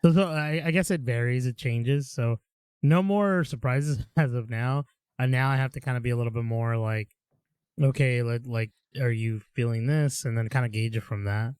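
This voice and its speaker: Young male co-host, mid-pitch, rambling upbeat storyteller, dry close mic. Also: moderate pace, a little mellow, clear, resonant tone, no room sound. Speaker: podcast co-host. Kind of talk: casual chat.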